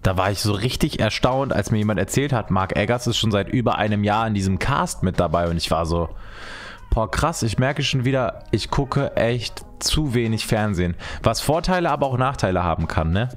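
A man's voice: lowish voice, animated, fairly quick. A man in his twenties giving casual, colloquial reaction commentary as a YouTuber, close on a desk microphone. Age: 20s. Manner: colloquial, chatty. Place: small room at a desk at home.

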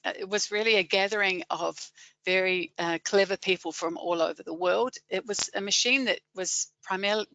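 A woman's voice: fairly low pitch, fairly bright tone, no echo. A woman with a New Zealand accent, a webinar guest chatting casually about her work, with frequent fillers. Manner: friendly, hesitant with many fillers. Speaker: guest, education and marketing staff.

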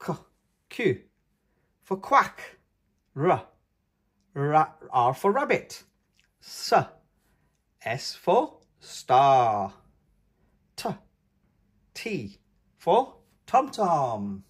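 A man's voice: on the high side, extremely slow, long pauses, slightly rough. A man hosting a children's read-aloud, speaking in an emphatic, sing-song way for toddlers. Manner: animated, emphatic, sing-song delivery of letters and words.

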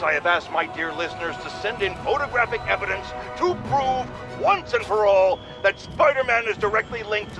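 A man's voice: sits high, full character voice. An actor playing an irascible, self-important male radio pundit in a video game, bombastic and sarcastic as he rants to his listeners. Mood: triumphant, accusing. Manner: bombastic, sarcastic, exaggerated indignation. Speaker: radio talk show host. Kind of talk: opinionated radio rant. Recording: clear background city noise from gameplay.

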